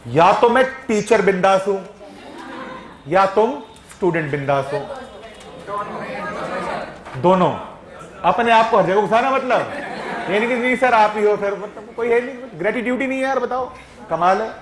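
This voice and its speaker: A man's voice: sits high, animated, unhurried, long pauses, a crowd in the background. A man from Punjab teaching a live grammar class, teasing and quizzing his students. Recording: echoey classroom.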